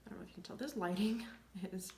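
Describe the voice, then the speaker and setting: A young woman coming down with a cold, chatting through a makeup tutorial in a rambling way, often dropping to soft near-whispers. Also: mid-range voice, quick pace, a little hiss or hum. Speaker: beauty vlogger. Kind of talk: casual makeup tutorial chat. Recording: echoey room.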